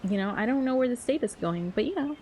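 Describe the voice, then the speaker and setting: A woman's voice: fairly high pitch, animated, fast talker, a little mellow, slight background noise. A young woman streaming a game, giving casual, playful, slangy reactions. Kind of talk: casual live reaction commentary. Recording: game music behind the voice.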